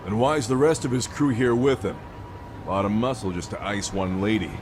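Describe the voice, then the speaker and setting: An actor playing a brash, hot-headed gang boss in a video game dub, with slangy, swaggering tough talk. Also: male voice, on the low side, brisk, background noise, lightly acted. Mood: suspicious. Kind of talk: English-dub video game cutscene acting. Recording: music behind the voice.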